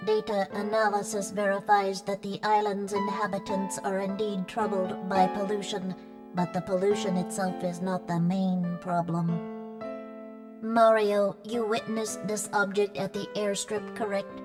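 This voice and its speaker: A woman's voice: medium pitch, little pitch movement, a little slow, music in the background, natural voice. A woman voicing a polite, analytical robot helper in a video game, precise and formal. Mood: measured, concerned. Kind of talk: video game cutscene acting.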